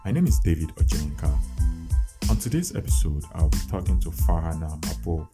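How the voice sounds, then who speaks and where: A man with a very deep voice hosting a podcast interview. He reads a polished introduction and then moves into relaxed, conversational questions. Loud music plays behind him. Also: a little fast. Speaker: podcast host.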